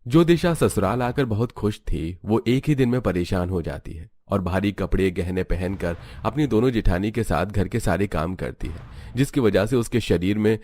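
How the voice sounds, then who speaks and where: A man's voice: fairly low pitch, moderate pace, darkish tone, dry recording. A man reading scripted narration for a family cartoon story.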